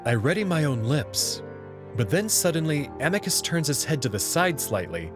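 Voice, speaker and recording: A man's voice: fairly high pitch, very animated, normal speed. Man narrating a story over music. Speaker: narrator reading a visual novel. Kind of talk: scripted first-person narration. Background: prominent music.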